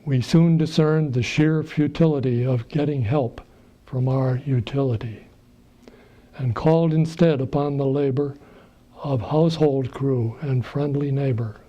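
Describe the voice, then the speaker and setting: A man's voice: mid-range voice, unhurried, dark tone. A man reading rhyming verse aloud, on a slightly dull, muffled recording. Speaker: reader of poems.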